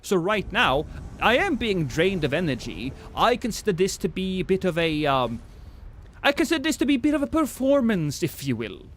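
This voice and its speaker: A man's voice: high-pitched, big pitch swings, normal speed, a bit bright. Norwegian guy w a bit of a british accent, streaming and chatting, voice jumps around a lot, faint hiss. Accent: Norwegian with a British tinge. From Norway. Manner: chatty, self-deprecating, many restarts. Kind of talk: casual gameplay livestream chat.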